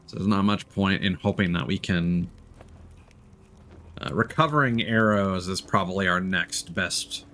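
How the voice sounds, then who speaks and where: A man with a fairly low voice commentates casually on a game he is streaming. He thinks out loud as he goes, with faint noise and game ambience behind him. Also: steady tone, measured pace. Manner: thinking aloud, musing. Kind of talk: casual live gameplay commentary.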